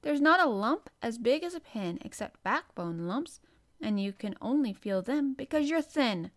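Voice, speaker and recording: A woman's voice: mid-range voice, expressive, unhurried, a little mellow, natural voice. A fierce little girl from a storybook, acted out by a woman narrator. She is cross and commanding, scolding hard with a savage temper and bossing whoever is in front of her. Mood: cross, firm.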